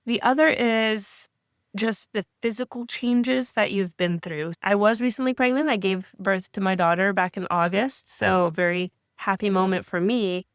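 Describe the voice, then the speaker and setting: A woman in her thirties who is getting over a cold, speaking as a guest in an interview in a measured, reflective way. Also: medium pitch, clean tone, no echo, studio quality. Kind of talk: interview conversation.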